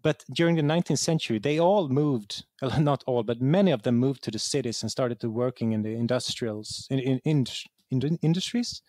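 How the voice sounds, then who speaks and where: A man from Sweden speaks English with a Swedish accent in a mid-pitched voice. He is an interview guest, conversational and halting, repeating words as he looks for the right one. The sound is dry, with no room echo. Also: darkish tone. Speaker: interview guest, game writer.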